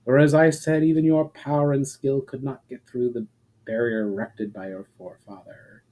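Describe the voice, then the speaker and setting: A man voicing a proud, calm female elf leader in a fantasy storybook, with stately, measured and formal speech. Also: medium pitch, lightly acted. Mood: stern warning.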